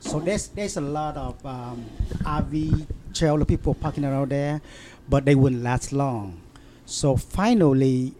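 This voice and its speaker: A man in an interview, telling a story in halting, broken English with many fillers and restarts. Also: mid-range voice, warm tone. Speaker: interview guest. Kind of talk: casual storytelling.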